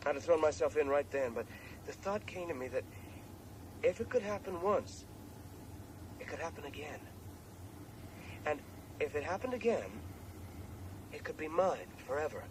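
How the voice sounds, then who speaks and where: An actor playing a soul-searching man confiding to his brother in a halting, earnest radio drama monologue. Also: fairly high pitch, animated, lightly acted. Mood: rapt, awed. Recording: noisy 1980s radio drama tape.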